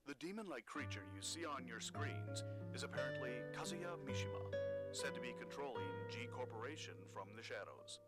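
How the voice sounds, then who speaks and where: A man playing a brisk, serious television news anchor in a video game, in formal broadcast style. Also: mid-range voice, loud music behind the voice, close to an everyday voice. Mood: urgent, serious.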